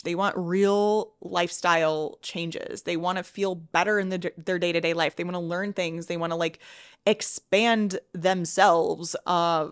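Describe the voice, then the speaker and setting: A woman in her thirties hosting a solo podcast, talking in a chatty way with lots of restarts, sniffly from a cold. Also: on the low side. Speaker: podcast host and fitness coach.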